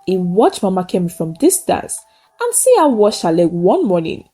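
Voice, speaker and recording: A woman's voice: mid-range voice, very animated, moderate pace, mellow, soft background music. A woman narrates a folktale in short, simple sentences, solemn and emphatic. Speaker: storyteller. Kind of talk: dramatic folktale narration with a closing moral.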